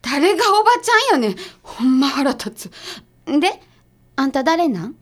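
A woman's voice: high-pitched, very animated. A woman playing a blunt, skeptical detective's assistant with a Kansai accent in a comedic radio drama. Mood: irritated. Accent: Kansai Japanese. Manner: blunt, brisk retorts.

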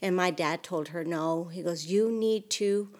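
Low-voiced woman, podcast guest. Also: a little slow, darkish tone. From Mexico. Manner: hesitant, many fillers. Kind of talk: casual interview conversation.

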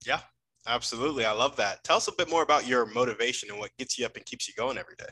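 A man hosting a podcast who greets listeners and brings in his guest with friendly energy. His voice is notably bright and forward, sitting in a mid range. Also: mid-range voice, racing, slightly rough, low-quality recording. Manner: friendly, energetic host patter. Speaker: podcast host.

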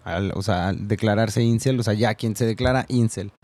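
Lowish-voiced man, chatty co-host. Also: normal speed, a little mellow, dry recording. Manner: chatty, interjects and apologizes playfully. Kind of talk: casual discussion.

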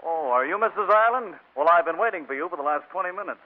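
An actor playing an angry, domineering, jealous husband, brusque, in a 1940s radio comedy. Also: male voice, a little high, expressive, quick pace. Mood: irate. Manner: brusque, demanding. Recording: tinny old radio recording.

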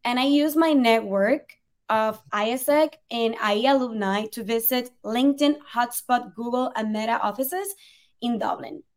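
A woman's voice: fairly high pitch, a little slow. A young woman from Puerto Rico, a native Spanish speaker with a Puerto Rican accent, earnestly telling her story as an interview guest. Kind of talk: conversational storytelling.

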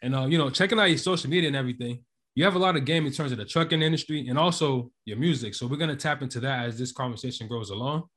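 A man's voice: medium pitch, racing, a bit bright. A man hosting a casual podcast interview, speaking informally with slangy phrasing. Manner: informal, slangy, filler-laden. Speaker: podcast host.

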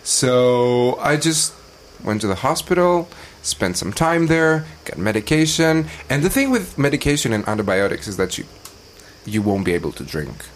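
A man's voice: mid-range voice, very animated, moderate pace, a little hiss or hum. A young man chatting casually as a podcast guest, telling stories in an informal, joking way. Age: young adult.

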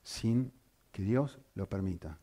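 Animated preacher. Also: male voice, fairly low pitch, slow pace, husky. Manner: animated, rhetorical questions to the congregation. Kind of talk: sermon.

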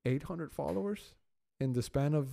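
A man's voice: a little high, a little slow, creaky, dark tone. A man from Austin whose first language is Spanish, chatting casually and switching between Spanish and English. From Austin, Texas. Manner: mixes Spanish and English constantly, says "you know what I'm saying". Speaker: podcast guest. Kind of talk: casual chat.

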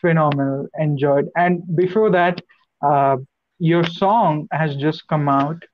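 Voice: mid-range voice, fairly even intonation, slow, muffled recording. A co-host of a live online show, introducing a guest with effusive praise and many fillers, over a video call.

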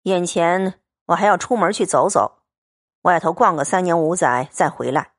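A woman's voice: on the low side, expressive, normal speed, no room sound, studio quality, voice pushed down. A woman voicing a proud, cold young swordsman who speaks curtly and with a sneer. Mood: cool, curt. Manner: curt, coldly sneering.

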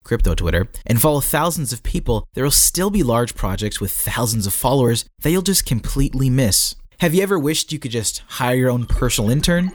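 A man's voice: medium pitch, slight background noise. A man reading a scripted article aloud as a narrator, with a tongue-in-cheek manner.

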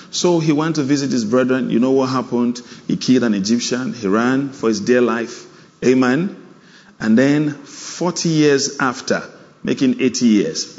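A man's voice: medium pitch, darkish tone. A male preacher giving a sermon, punctuating it with "Amen" and "All right" to draw responses from the congregation. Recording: dull sound in a long-reverb hall.

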